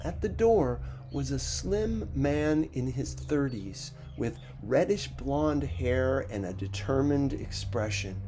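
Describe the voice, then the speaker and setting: Guy reading a story, real slow and dramatic, expressive, soft music bed under it. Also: medium pitch. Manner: measured, dramatic delivery. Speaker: audiobook narrator. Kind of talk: expressive first-person story narration.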